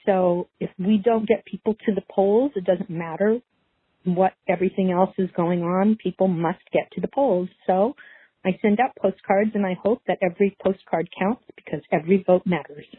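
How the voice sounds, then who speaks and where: Husky woman on a tinny phone. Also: medium pitch, moderate pace, no room sound. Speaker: podcast listener leaving a voicemail. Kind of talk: casual, earnest voicemail message. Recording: dry, tinny phone line.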